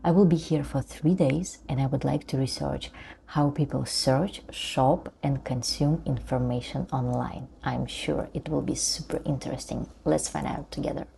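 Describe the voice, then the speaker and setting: A woman's voice: extremely low pitch, fairly even intonation, moderate pace, creaky, a little hiss or hum. A young Ukrainian woman with a Ukrainian accent vlogging casually, eager and upbeat in slightly broken English. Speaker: vlogger and AI marketing specialist. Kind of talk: casual travel vlog to camera. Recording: loud music bed under voice. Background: loud background music.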